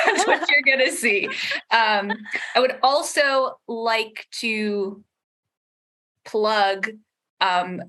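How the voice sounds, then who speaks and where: Slow-paced, bright-voiced woman, wryly chatting. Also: medium pitch. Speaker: audio drama podcast creator, panel guest. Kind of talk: casual chat.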